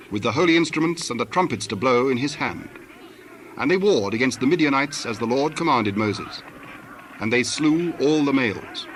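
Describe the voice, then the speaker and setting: A man's voice: mid-range voice, fairly bright tone, slight background noise. A man narrating scripture from a script in a formal, solemn manner.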